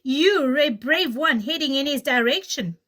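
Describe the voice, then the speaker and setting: A woman voicing a timid tortoise in a children's story, in a shaky, hushed voice. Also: sits high, expressive, measured pace. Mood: nervous.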